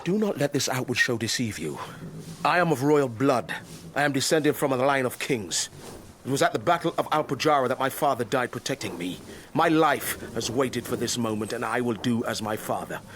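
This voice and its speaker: An actor playing a proud, devout older Moorish stableman in a period radio drama, solemn, measured and poetic. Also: medium pitch, lively intonation, natural voice. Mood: proud, defiant. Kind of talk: period radio drama acting, lyrical speech. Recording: clearly noisy stable ambience behind.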